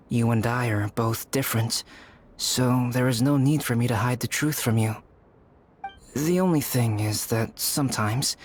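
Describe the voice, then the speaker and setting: An actor playing a calm, pensive young alchemist in a game, speaking in a grave, deliberate, storytelling way over music. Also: male voice, mid-range voice, natural voice. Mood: calm, reflective. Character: calm, pensive young male alchemist telling a dark tale. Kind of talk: measured game dialogue storytelling. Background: background music.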